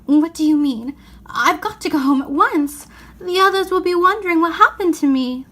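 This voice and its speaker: An earnest, kind little girl from a storybook, played by a woman reader. Her voice sits high and she speaks in anxious, pleading exclamations. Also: animated, normal speed, sharp tone, exaggerated voice. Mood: frightened.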